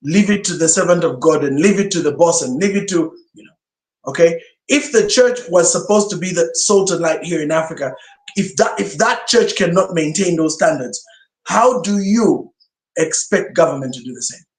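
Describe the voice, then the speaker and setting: Emphatic high-voiced male pastor. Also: moderate pace. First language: Swahili. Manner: emphatic, rhetorical questions. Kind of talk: sermon-style teaching talk. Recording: roomy echo.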